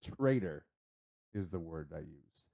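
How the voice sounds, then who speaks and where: A man's voice: low-pitched, animated, slow pace, vocal fry, mellow, voice pushed down, heavily acted. A man voicing a hostile, bitter gunman who interrogates and accuses in a tabletop game. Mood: bitter, accusing.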